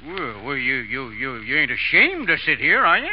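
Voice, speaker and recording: An actor playing a hearty, folksy American Western husband in a radio comedy, informal and boisterous. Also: male voice, medium pitch, wildly swooping pitch, normal speed, slightly rough. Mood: insistent, friendly. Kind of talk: 1930s-40s radio comedy acting. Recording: dull vintage radio broadcast.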